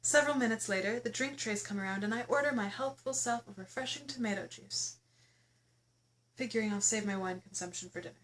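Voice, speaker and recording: A woman reading her own memoir aloud as its author, in expressive first-person narration with a playful, theatrical, exclamatory storytelling manner. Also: on the high side, fairly quick, slight background noise, low-quality recording.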